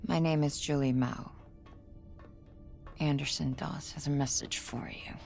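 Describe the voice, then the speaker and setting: A woman's voice: low voice, fairly even intonation, measured pace, creaky, darkish tone, faint music behind. An actress voicing a tough young woman prisoner in a video game, curt and blunt, swearing freely. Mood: tense.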